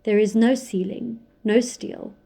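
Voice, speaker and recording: A woman narrating an audio drama in a measured, descriptive way. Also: fairly high pitch, fairly even intonation, vocal fry, warm tone, breathy edge.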